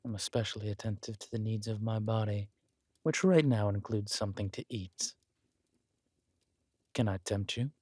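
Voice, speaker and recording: A man playing a regal, wry, menacing villain king in a roleplay audio drama, speaking in a measured, intimate, smugly theatrical way. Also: fairly low pitch, steady tone, darkish tone, no room sound, studio quality, lightly acted. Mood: flirtatious, teasing.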